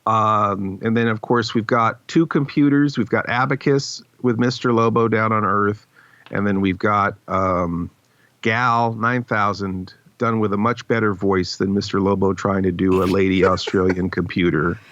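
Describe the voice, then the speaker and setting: A man's voice: on the low side, steady tone, measured pace, a bit bright, no echo. A man hosting a podcast, chatting casually about a script with wry, self-deprecating asides. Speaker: podcast host. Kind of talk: casual behind-the-scenes script discussion. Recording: slightly dull, dry close recording.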